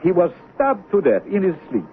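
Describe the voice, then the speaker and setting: An exasperated regular guy, colloquial and very lively, his pitch leaping about. The sound is a tinny old radio drama with faint noise. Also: moderate pace. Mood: excited, exasperated. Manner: animated, colloquial. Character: exasperated everyday man bringing gossip to a fan. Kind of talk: 1970s radio drama acting. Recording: tinny narrow-band 1970s radio recording, noisy.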